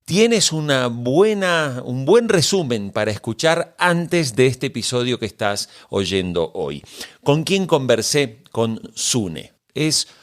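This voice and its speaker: A man's voice: medium pitch, very animated, slow pace. A man hosting a podcast in a conversational way, addressing listeners directly as he presents and interviews.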